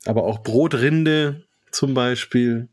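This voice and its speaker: A man hosting a beer podcast, describing a beer's aroma and taste in a relaxed, savoring way. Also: mid-range voice, slow pace. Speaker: podcast host. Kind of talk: beer tasting interview.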